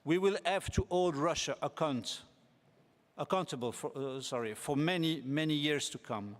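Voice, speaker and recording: A man delivers a formal speech, measured and deliberate, pausing on fillers. His voice sits a little high for a man. It carries into a large conference hall with a long, lingering reverb. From Belgium. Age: 40s. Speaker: parliamentarian candidate for rapporteur.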